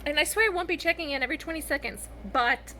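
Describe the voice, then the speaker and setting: A woman talking casually in a reaction vlog, with a halting, expressive fangirl delivery and dramatic pauses. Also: high-pitched, slight background noise. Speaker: vlogger sharing reactions to fan fiction.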